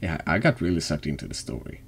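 Extremely deep, gravelly man's voice. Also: very animated, fast, slight background noise. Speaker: streamer, game reader. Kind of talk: slow dramatic reading of a horror text game and casual chat.

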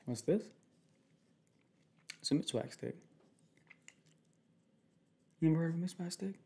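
Laid-back young man, mellow creaky voice. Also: fairly high pitch, expressive. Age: young adult. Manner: slangy, laid-back, halting with repeated phrases. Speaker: ASMR creator playing a protective boyfriend. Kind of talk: ASMR boyfriend roleplay, casual one-sided chat. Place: small home room, indoors.

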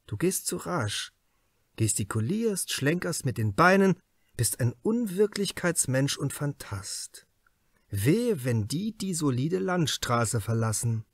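A man playing a calm, unshakeable police detective. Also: fairly high pitch, no room sound, heavily acted. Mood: musing. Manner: firm, deliberate. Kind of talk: audiobook character dialogue.

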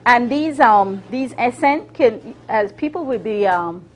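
A middle-aged woman casually demonstrating a recipe, explaining it in a hesitant, halting way. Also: on the high side, animated, a little hiss or hum. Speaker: guest cook demonstrating a recipe. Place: TV kitchen studio set.

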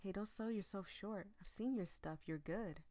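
A woman's voice: medium pitch, moderate pace, dark tone, no room sound, close to an everyday voice. A woman voicing a teasing, affectionate, cocky young man in a visual novel game, with casual playful banter.

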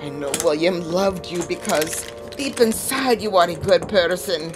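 A woman's voice: lowish voice, over music, close to an everyday voice. A woman playing a weary old female spirit medium, solemn and foreboding, in a theatrical audio drama. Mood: stern, weary. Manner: solemn, dramatic, deliberate pronouncements.

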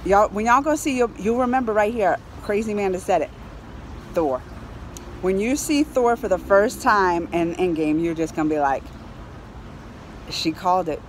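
An excitable woman gives a casual, emphatic review straight to camera. Her voice sits at a middle pitch, and she repeats phrases to drive them home. She is outdoors, with faint city traffic noise behind her. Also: normal speed, pauses often, poor audio. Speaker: movie reviewer. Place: outdoors on a high balcony over a city. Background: urban traffic ambience.

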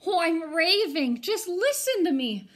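Woman voicing a high-pitched, gushing lonely ghost lady. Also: big pitch swings, measured pace, a little hiss or hum, heavily acted. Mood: eager, flustered. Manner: gushing, dramatic. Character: lonely, excitable female ghost, gushing and weepy. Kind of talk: children's picture book read-aloud.

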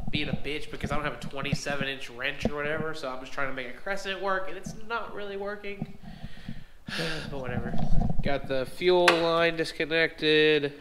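A man's voice: fairly high pitch, normal speed. A young man with a sniffly voice, casually talking through work on his truck in a large metal garage, recorded on an action camera. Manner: laid-back, swearing, rambling. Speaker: vlogger working on a drift truck. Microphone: action camera worn or handheld. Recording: action-camera audio with clear background noise.

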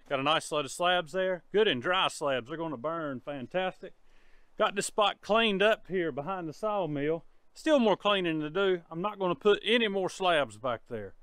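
A man's voice: fairly high pitch, a bit bright, clean tone, dry recording. A middle-aged man with a Southern US accent, chatting casually to the camera in a folksy, easygoing way while working outdoors on his homestead. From North Carolina. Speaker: homesteader vlogger. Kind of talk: casual vlog narration. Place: outdoors in a wooded homestead yard. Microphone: camera held close at arm's length.

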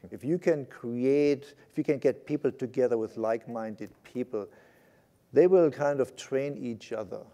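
An older man in his sixties talks on a conference stage through a lapel mic. His voice is mellow and his pitch sits in the middle. He stays conversational and tags his points with a quick "right?". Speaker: panelist, IT executive. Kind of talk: panel discussion.

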